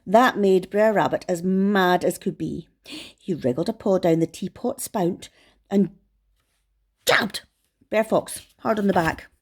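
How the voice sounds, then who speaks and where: A woman reading to children as a storyteller, expressive and affectionate in how she addresses young listeners. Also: medium pitch, slow, breathy edge.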